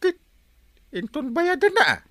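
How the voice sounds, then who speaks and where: Village captain, Ilocano accent. Defensive, stubborn, soft-hearted; hugely lively delivery, dry sound. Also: male voice, very high-pitched, unhurried, no room sound, heavily acted. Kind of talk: comedy radio drama acting.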